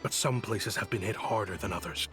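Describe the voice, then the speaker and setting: An actor playing a smooth, contrite town liaison in a video game, with a pleading, theatrical manner. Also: male voice, fairly low pitch, fairly quick, fairly bright tone, faint music behind, natural voice.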